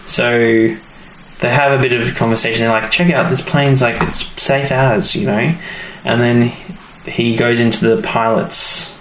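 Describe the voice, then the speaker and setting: A man co-hosts a podcast in a loose, casual, joking way. His voice sits at a middling pitch. The room is noticeably echoey, with faint noise underneath. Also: steady tone, normal speed. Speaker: podcast co-host. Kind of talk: casual chat, recapping a story.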